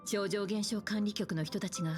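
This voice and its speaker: A composed mature woman leader from a game speaks in a measured, cautious way, voiced by an actress. Her lines come quickly over steady game music. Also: mid-range voice, close to an everyday voice. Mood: calm. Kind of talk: Japanese game voice acting.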